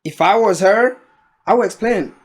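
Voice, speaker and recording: Male guest, East African accent, higher-than-average pitch, loose conversational style. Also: normal speed, breathy edge. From East Africa, born in Burundi, grew up in Tanzania. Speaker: returning podcast guest. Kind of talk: casual chat.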